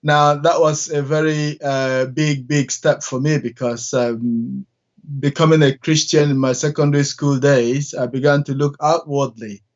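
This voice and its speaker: A middle-aged man from Nigeria, a church minister, speaks in a somewhat high voice over a dull, lo-fi call line. He talks in measured phrases with frequent pauses and fillers, as an interview guest telling his story. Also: fairly even intonation.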